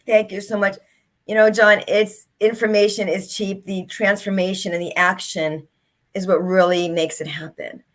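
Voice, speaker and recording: A woman's voice: mid-range voice, level tone, moderate pace. A middle-aged woman, an author and speaker, telling her story as an interview guest in an earnest, reflective way.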